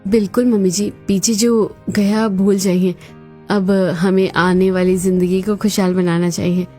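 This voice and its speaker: Mellow, polite young daughter-in-law played by a woman. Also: mid-range voice, little pitch movement, measured pace, faint music behind, lightly acted. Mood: kind, reassuring. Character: kind, dutiful, cheerful young Indian daughter-in-law. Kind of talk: Hindi cartoon family drama voice acting.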